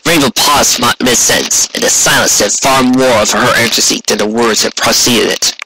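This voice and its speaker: A man's voice: medium pitch, steady tone, moderate pace, bright, forceful tone, rough recording. A man reading scripted story narration on an amateur recording with noise and clicks. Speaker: audiobook reader. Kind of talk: scripted fan fiction narration.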